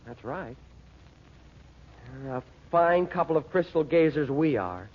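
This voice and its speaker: A bossy, impatient teenage boy in an old radio serial. The voice is husky and swings widely in pitch, slangy and scolding, on a dull recording. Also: lively intonation, slow pace. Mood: eager, hushed. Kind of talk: 1930s-40s radio drama acting.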